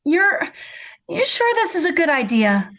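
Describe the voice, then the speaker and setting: An actress as a high-voiced practical radio mother. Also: big pitch swings, unhurried, fairly bright tone, full character voice. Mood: doubtful. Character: gentle, practical, mildly doubtful 1950s mother. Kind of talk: old-time radio comedy acting.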